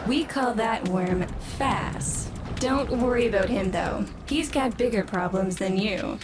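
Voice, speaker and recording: A woman's voice: medium pitch, unhurried, very noisy, close to an everyday voice. A woman voicing a jaded, scornful scientist daughter in a video game, wry and condescending. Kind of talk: scripted video game character acting.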